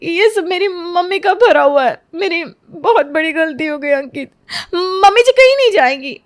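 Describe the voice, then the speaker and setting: A woman's voice: very high-pitched, animated, moderate pace. A woman playing a remorseful young wife, pleading desperately and tearfully in a cartoon family drama.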